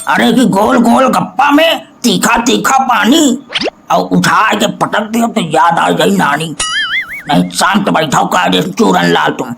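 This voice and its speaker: High, creaky old cartoon uncle. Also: male voice, measured pace, over music, exaggerated voice. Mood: mocking. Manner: rustic, rambling, protesting. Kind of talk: Hindi comedy cartoon dialogue.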